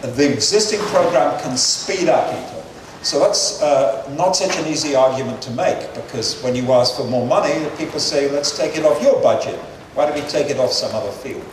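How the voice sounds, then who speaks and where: An older man, a guest speaker, gives measured, earnest answers to audience questions, with frequent fillers. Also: on the high side. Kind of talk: lecture-hall Q&A answers. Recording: echoey hall, distant mic, faint noise.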